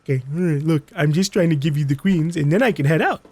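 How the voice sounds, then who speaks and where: A man's voice: a little high, quick pace, a little mellow. A man giving casual, playful let's play commentary as host of a gaming video. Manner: playful, joking.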